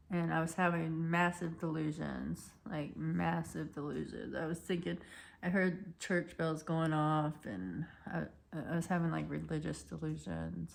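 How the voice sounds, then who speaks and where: A woman in her thirties telling a personal story in a rambling, halting way, her voice hoarse and cracking. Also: low voice, a little mellow. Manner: rambling, halting, many restarts and fillers. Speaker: vlogger.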